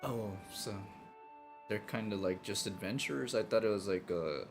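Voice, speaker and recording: A man livestreaming a game, making low-key, off-the-cuff casual comments. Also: normal speed. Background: game music.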